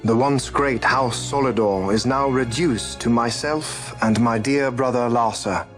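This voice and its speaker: Man as aristocratic schemer, medium pitch, measured, formal, composed, music behind, fantasy game villain. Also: a bit bright, close to an everyday voice. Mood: cool, composed. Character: calculating, aristocratic male imperial usurper. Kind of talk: scripted video game cutscene acting.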